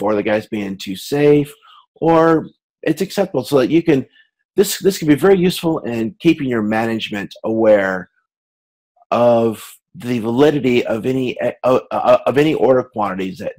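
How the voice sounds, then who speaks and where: Male course lecturer, mid-pitched voice. Informal, explanatory delivery that restarts and rewords phrases along the way. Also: a little mellow, low-quality recording. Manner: halting, repeats and rephrases himself as he explains.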